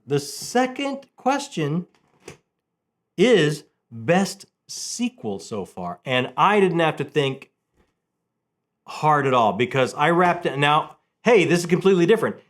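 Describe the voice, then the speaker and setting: A man with a slightly high voice hosts a casual book chat. He speaks with enthusiasm and animation, often restarting and repeating words as he works toward his point. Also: big pitch swings, slow pace. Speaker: book vlog host.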